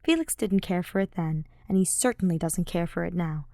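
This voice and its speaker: A woman's voice: on the low side, brisk, vocal fry, darkish tone, no room sound, studio quality. A woman reading fiction aloud as a scripted audiobook narrator. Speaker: podfic narrator.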